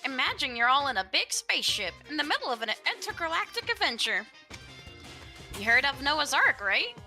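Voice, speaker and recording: A woman's voice: sits high, pushed and bright. A woman casually chatting and reading a visual novel game aloud with friends. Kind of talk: casual gaming chat and animated read-aloud.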